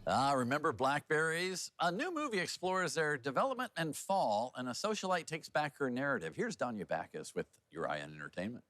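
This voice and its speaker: A man's voice: fairly high pitch, sing-song, fairly quick, hardly any pauses, fairly bright tone, soft background music. A man anchoring TV news in a casual, playful, folksy style, full of jokey asides. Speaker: TV news anchor and feature reporter.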